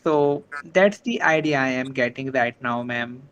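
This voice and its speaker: Casting note: man on a video call whose first language is Hindi, halting and deferential, slow delivery. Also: medium pitch, a bit bright, smooth, lo-fi sound. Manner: hesitant, many fillers, polite. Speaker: meeting organizer. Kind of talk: online meeting discussion.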